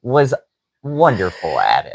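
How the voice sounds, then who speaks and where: A young man co-hosting a podcast, telling an animated story in casual chat with frequent laughs. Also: mid-range voice, takes it slowly, creaky, no echo, clean studio sound. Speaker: podcast co-host.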